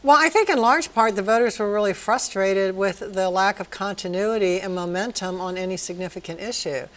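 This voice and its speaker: A woman from Northern Virginia working as a city manager, giving articulate, measured answers in a TV interview with occasional ums. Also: mid-range voice, a bit bright, low-quality recording. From Northern Virginia, USA. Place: TV studio.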